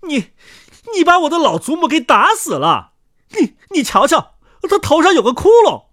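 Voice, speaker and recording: A man takes on a cunning storybook peasant who thinks fast. The voice is high for a man and very expressive, with a sly edge, recorded dry and close. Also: no room sound, full character voice. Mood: mock outrage. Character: sly, quick-witted poor peasant man, crafty trickster.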